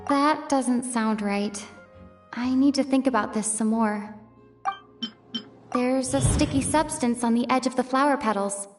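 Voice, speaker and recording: A woman playing a thoughtful young adventurer in a video game, reasoning in a deliberate, pondering way. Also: fairly high pitch, recorded from a distance, over music, natural voice.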